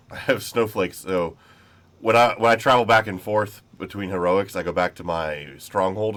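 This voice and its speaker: A man hosts casual gameplay commentary and rambles through what he is doing in an easygoing way. He is a little sick, so his very deep voice comes out slightly nasal. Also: fairly bright tone. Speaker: gaming channel host.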